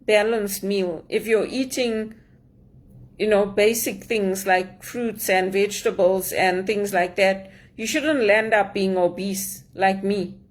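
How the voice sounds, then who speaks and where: A woman's voice: medium pitch, takes it slowly. A woman from Zimbabwe in an earnest personal monologue, aggrieved, repeating phrases for emphasis. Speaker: immigrant sharing her story.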